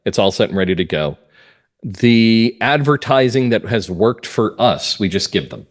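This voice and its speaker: A man's voice: fairly low pitch, expressive, normal speed. A middle-aged man talking at length in a conversational interview, explaining things as a guest. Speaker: guest, mystery playwright and script consultant.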